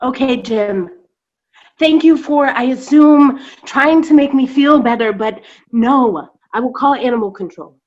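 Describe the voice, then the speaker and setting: Over dull video-call sound, an actress plays a circus boss and lion tamer. Her voice sits a little high. She is blunt, sarcastic and at the end of her patience in this stage drama. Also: unhurried. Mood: exasperated. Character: gruff, exasperated woman lion tamer running a circus. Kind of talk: staged reading of a play.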